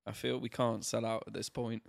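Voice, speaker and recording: A man's voice: mid-range voice, little pitch movement, brisk, vocal fry, no echo. Man, nasal, bit of a cold, joking podcast banter, dry close sound. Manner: jokey, laughs often, says mate. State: stuffy with a cold. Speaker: podcast co-host. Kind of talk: casual chat.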